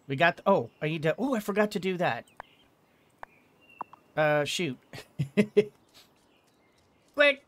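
A man's voice: a little high, fairly bright tone. A middle-aged man giving casual gameplay commentary, muttering to himself as he plays. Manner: muttering to self while playing. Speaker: game streamer. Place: indoor room at a desk.

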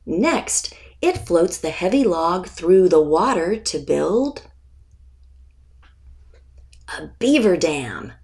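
A woman narrates a children's nonfiction picture book in a fairly low voice with some gravelly creak. She reads very slowly and deliberately, giving expression to the text and pausing before key words. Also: very animated, a little mellow. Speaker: author reading aloud.

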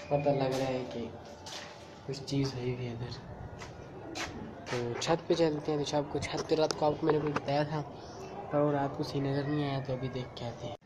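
A teenage boy gives a plain, matter-of-fact tour of an unfinished concrete house, filming on a phone held at arm's length. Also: medium pitch. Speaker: vlogger. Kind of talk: casual house-tour narration. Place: bare unfinished concrete house, indoors and on the roof. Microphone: phone camera held at arm's length. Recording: echoey bare rooms, loud ambient noise.

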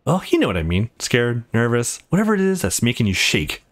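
A man's voice: mid-range voice, huge pitch swings, brisk. A man voicing an encouraging, loyal young man in a game, earnest and supportive. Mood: reassuring.

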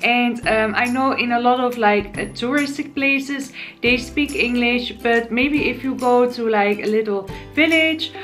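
A woman in her twenties with a Dutch accent and a fairly high voice speaks in a chatty, friendly way. She sits on a sofa in her living room, facing the camera, and slows down to spell out new words. Soft music plays faintly behind her. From Netherlands. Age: 20s. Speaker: YouTuber teaching words. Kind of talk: casual vlog lesson. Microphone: camera in front, seated.

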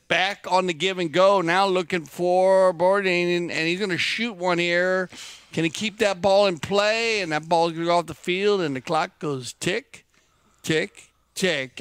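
Man, color commentator for a polo broadcast, chatty and homespun, slightly high voice, dry studio sound. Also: a bit bright, clean tone, dry recording. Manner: chatty, folksy asides.

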